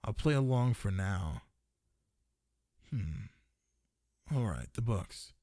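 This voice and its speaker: Low-voiced flirty dragon librarian, acted by a man. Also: sing-song, slow, dark tone, no room sound, clean studio sound, exaggerated voice. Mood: teasing, hopeful.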